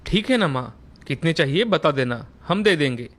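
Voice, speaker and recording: A man's voice: a little high, expressive, moderate pace, a bit bright. A man voicing a dutiful, agreeable grown son from a village in a cartoon story. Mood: obliging. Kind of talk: Hindi cartoon story voice acting.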